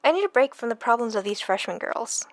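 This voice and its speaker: Brisk, guarded young woman, higher-pitched, dry close sound through a phone receiver, audio drama. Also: lively intonation, dry recording. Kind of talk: audio book character acting.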